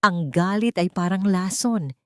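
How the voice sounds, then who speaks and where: A woman voicing a warm, gentle older mother in an audiobook romance, speaking softly and tenderly in her own low voice. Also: a little slow, smooth, no room sound, studio quality, natural voice.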